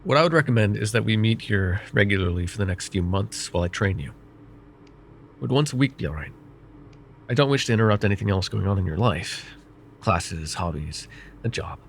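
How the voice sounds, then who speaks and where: A man voicing a vain, wry, middle-aged male mage who counsels a student in an ASMR roleplay, speaking in a calm, measured and gently teasing way. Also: fairly low pitch, gravelly, slight background noise. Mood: calm reassuring. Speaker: voice actor.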